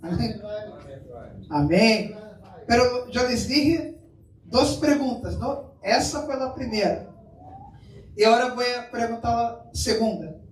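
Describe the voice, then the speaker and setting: A Brazilian man preaches at an unhurried pace, mixing Portuguese with Spanish and asking the congregation questions. He is picked up from some distance away, in a reverberant room with a faint hiss underneath. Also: very high-pitched, animated. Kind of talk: sermon. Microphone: far from the mic. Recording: echoey room, mic far off, faint noise.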